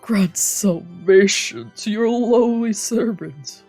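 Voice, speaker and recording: A woman's voice: medium pitch, takes it slowly, warm tone, husky, faint music behind. A woman voicing a humble, devout man who speaks in an earnest, apologetic way, as in a visual novel. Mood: reverent. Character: humble, devout man praying reverently before a painting.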